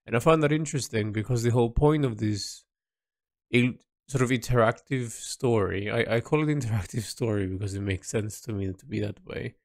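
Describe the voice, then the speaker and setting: A man thinking aloud in an audio log about the story he is writing and illustrating, halting and restarting often. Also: mid-range voice, creaky, dry recording, studio quality. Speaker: creator discussing his illustrated story project. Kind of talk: casual spoken audio log.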